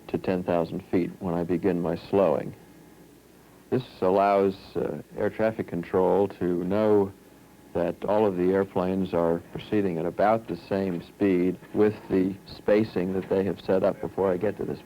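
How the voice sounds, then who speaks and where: A man with an American accent, a pilot giving a deliberate technical explanation on a 1970s film soundtrack. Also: deep voice, little pitch movement, lo-fi sound, a little hiss or hum. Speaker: airline pilot. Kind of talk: prepared explanation.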